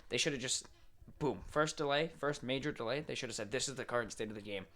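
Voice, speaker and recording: A man's voice: medium pitch, quick pace, sharp tone. A man co-hosting a podcast, explaining his opinions in casual, informal banter with some swearing. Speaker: podcast co-host.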